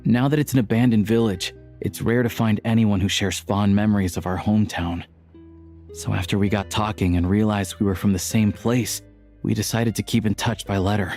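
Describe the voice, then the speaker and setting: A man playing a patient, caring character in a video game, speaking gently and earnestly. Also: lowish voice, steady tone, moderate pace, lightly acted. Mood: reflective, wistful. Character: patient, caring man who looks after an old woman. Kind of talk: video game voice acting, dialogue and exposition. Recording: background music under the dialogue.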